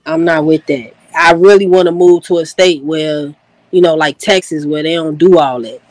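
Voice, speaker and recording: A woman's voice: deep voice, steady tone, moderate pace, a little mellow, no room sound. A woman hosting a casual livestream chat, laughing easily and talking in loose slang. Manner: laid-back, laughing, slangy storytelling. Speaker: host.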